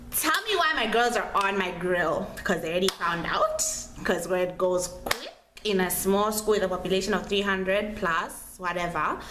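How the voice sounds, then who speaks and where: A young woman vlogger tells a story in a casual, chatty way. She is slangy and dramatic and repeats herself for emphasis. Her medium-pitched voice echoes in the room over faint background noise. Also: fairly bright tone. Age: young adult. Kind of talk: casual animated storytelling to camera. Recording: roomy echo, clear background noise.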